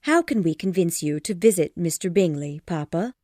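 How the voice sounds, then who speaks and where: A woman voicing a polite, sensible young woman speaking gently to her parents. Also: on the low side, expressive, moderate pace, no room sound, clean studio sound. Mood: gentle.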